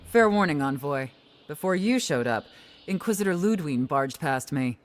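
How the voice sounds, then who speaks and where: An actor playing a brisk, cautious townsperson in a fantasy video game, giving friendly warnings in a chatty, forthright way. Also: mid-range voice, lively intonation, background noise, natural voice. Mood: cautionary. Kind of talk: naturalistic game voice acting.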